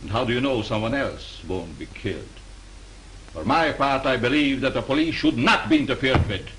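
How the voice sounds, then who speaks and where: A man's voice: mid-range voice, lively intonation, normal speed, a bit bright, natural voice, low-quality recording. A man playing a stern, lecturing studio boss. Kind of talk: 1930s film acting. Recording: faint hiss of 1930s film soundtrack.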